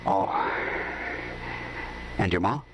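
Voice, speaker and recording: An actor playing a lonely, charming drifter in a vintage radio drama, speaking softly and coaxingly. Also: male voice, lively intonation, very slow, exaggerated voice. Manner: soft, low, coaxing talk. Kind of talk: 1950s radio drama acting. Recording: hissy old radio broadcast.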